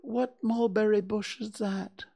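A man voicing a kindly, simple-minded male teddy bear in a high, bright, innocent voice. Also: fairly even intonation, a little slow, vocal fry, slightly rough, full character voice. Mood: cheerful.

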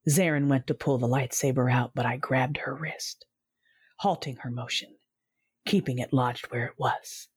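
An actress voicing a tormented young Sith woman who narrates her own story, intense and low, dropping to ragged whispers, over dramatic music. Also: fairly even intonation, moderate pace, breathy edge, full character voice. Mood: fading. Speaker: first-person narrator.

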